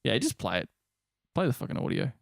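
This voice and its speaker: A man's voice: medium pitch, wildly swooping pitch, fairly quick, vocal fry, no echo, studio quality. A man co-hosting a podcast, chatting casually in a blunt, sweary way. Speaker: podcast co-host. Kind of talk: casual chat.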